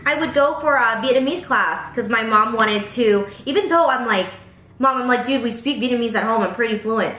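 Young woman, bright high voice, chatty storytelling, lots of fillers, echoey room. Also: brisk. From Pennsylvania, US. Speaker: mukbang host. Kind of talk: casual chat while eating. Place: bright room indoors at a table.

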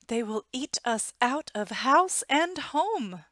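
A woman's voice: high voice, big pitch swings, slow pace, fairly bright tone, dry recording, studio quality, pitched above the performer's own voice, full character voice. Woman reading as an emphatic old lady, drawn out. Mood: worried. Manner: exclaiming, emphatic. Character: kindly very old woman in a picture book.

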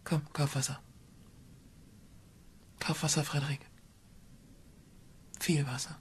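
Cold-blooded female schemer, low voice, slow languid delivery, often whispery, radio drama, faint ambient noise. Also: flat delivery. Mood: dreamy, teasing. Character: detached, teasing, cold-blooded woman conspirator. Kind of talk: German radio crime drama acting.

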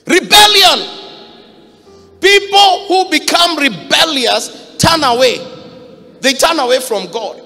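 High-voiced Kenyan preacher, fiery. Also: male voice, gravelly. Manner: fiery, shouting emphasis, mixes Swahili quotes. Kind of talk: sermon. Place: large church hall. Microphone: handheld mic. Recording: reverberant church hall.

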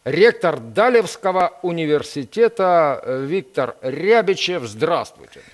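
A man's voice: on the high side, lively intonation, unhurried. An older man hosting a conversational interview in a television studio. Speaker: TV host, interviewer.

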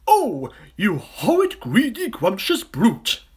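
Gigantic moralising hippopotamus, storybook male reader: raised pitch, loud indignant exclamations, very animated. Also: takes it slowly, slightly rough, full character voice. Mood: appalled, indignant.

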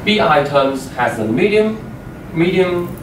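A young man, a native Chinese speaker, giving a class project presentation, halting and repeating words as he explains slides. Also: on the high side, sing-song. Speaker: student presenter. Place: classroom with a projector screen, indoors. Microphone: far room microphone. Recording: echoey room from far off, noisy.

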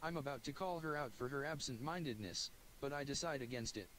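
A man's voice: mid-range voice, fairly quick, a bit bright, slight background noise, low-quality recording. Man reading a game story aloud. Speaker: gaming YouTuber. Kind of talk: reading a visual novel aloud.

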